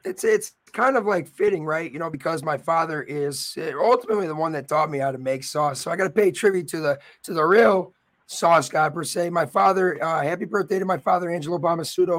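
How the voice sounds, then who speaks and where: A man with a raspy voice hosting a live sports show, talking upbeat and straight to his viewers. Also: fairly high pitch. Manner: upbeat and promotional, addressing viewers directly. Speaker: host.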